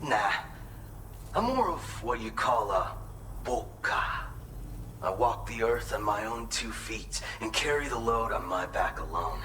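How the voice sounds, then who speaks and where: A man's voice: lowish voice, sharp tone, slight background noise, low-quality recording. A man acting a folksy, earnest mountain porter in a video game, talking conversationally and with pride.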